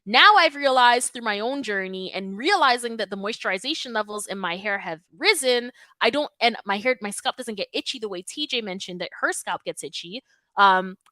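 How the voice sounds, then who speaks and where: A woman from Toronto hosting a live stream, answering viewer questions in an emphatic, animated, conversational way. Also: mid-range voice, moderate pace, no room sound. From Toronto, Canada. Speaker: natural hair YouTube host.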